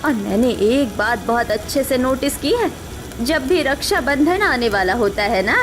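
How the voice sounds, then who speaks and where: A woman's voice: sits high, lively intonation, moderate pace, background noise. A woman voicing a resentful, sarcastic housewife in a cartoon story, grumbling about her in-laws. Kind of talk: animated cartoon story acting.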